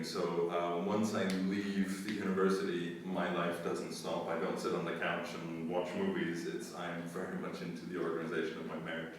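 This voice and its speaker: A middle-aged man from the United States, a school administrator answering interview questions in an earnest, measured way with frequent pauses. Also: sits low. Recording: roomy echo, distant mic, faint noise.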